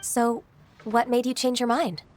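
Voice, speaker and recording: A woman playing a gentle, dutiful, ageless secretary, polite and formal, in an anime video game. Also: on the high side, flat delivery, a little slow, slight background noise, lightly acted.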